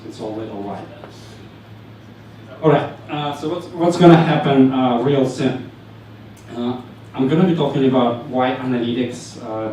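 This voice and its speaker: A man's voice: medium pitch, darkish tone, recorded from a distance, a little hiss or hum. Ukrainian-accented man in an echoey room. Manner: halting, frequent fillers, joking asides. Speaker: meetup speaker. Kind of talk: presentation to a live audience. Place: meetup room with audience.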